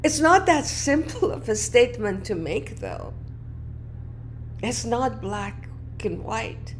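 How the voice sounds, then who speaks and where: Woman host, non-native English speaker, unhurried personal monologue, faint ambient hiss behind. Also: fairly high pitch, very animated. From moved to Canada as a child, not a native English speaker. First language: not English. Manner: reflective, earnest, with wide swings between emphatic and hushed phrases. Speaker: podcast host.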